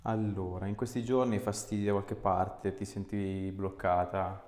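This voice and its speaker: A man in his thirties, an osteopath calmly giving short instructions and chatting with a patient during an exam. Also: deep voice, normal speed. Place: small indoor clinic treatment room. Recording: echoey small treatment room.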